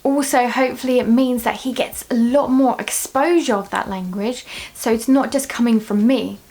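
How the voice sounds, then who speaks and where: Chatty woman with a highish voice. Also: fairly even intonation, moderate pace, dark tone. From Russia, raised in England from age seven. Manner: chatty and explanatory, with frequent ums and restarts. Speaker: parenting vlogger. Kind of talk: casual talking-to-camera vlog.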